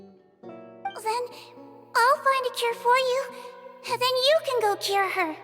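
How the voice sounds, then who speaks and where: A tiny earnest nurse with a very high, soft and hesitant voice that moves slowly. Faint music sits behind her. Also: big-room sound, exaggerated voice. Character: small, earnest girl-like nurse, devoted student. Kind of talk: game cutscene voice acting.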